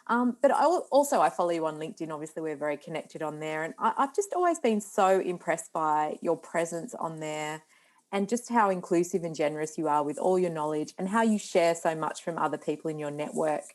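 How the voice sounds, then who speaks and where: A woman hosts a podcast and puts questions to a guest. Her voice sits slightly low, and she frames long, appreciative questions. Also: lively intonation, brisk. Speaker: podcast host. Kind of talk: interview questions.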